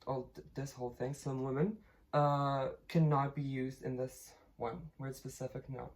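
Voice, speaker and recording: A woman's voice: very deep voice, level tone, normal speed, darkish tone. A young woman from Poland, casually explaining her thesis with many fillers, restarts and laughs. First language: Polish. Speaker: linguistics student.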